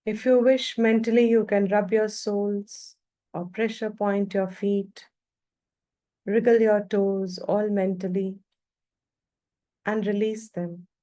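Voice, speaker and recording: A woman leading a guided meditation as a teacher, speaking calmly in short phrases with long pauses between them. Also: medium pitch.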